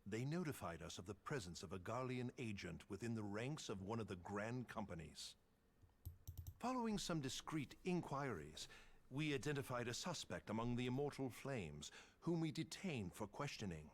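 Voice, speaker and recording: Man putting on a grave captain, animated intonation. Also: mid-range voice, a little hiss or hum, pitched below the performer's own voice, lightly acted. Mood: grave, confiding. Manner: solemn, deliberate, formal phrasing. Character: grave, formal military captain, secretive and dutiful.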